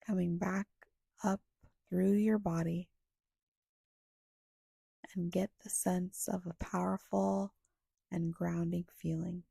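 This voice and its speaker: A woman guiding a meditation in a soft, breathy, hushed and soothing voice, leaving long pauses between short phrases. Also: lowish voice, little pitch movement, no echo, clean studio sound. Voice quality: soft, breathy, often slipping toward a whisper. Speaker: guided meditation guide. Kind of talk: guided meditation narration.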